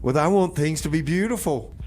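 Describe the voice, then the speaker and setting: A man's voice: a little high, fairly quick, crowd noise. A man hosting a community radio show, chatting and announcing songs in a casual, dry, offhand way. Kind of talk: casual on-air banter and song announcing.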